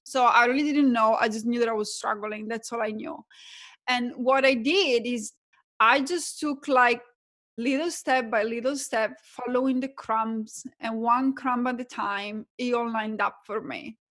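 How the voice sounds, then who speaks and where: A woman's voice: fairly high pitch, fairly even intonation, unhurried, a bit bright. A young adult woman from Italy with an Italian accent, a guest coach telling her story reflectively in a casual podcast interview. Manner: reflective, storytelling, uses fillers like "um" and "like".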